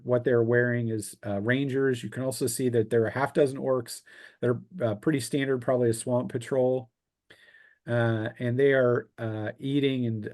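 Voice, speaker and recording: A man narrating a tabletop roleplaying game as game master, improvising descriptions in a halting way. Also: medium pitch, mellow.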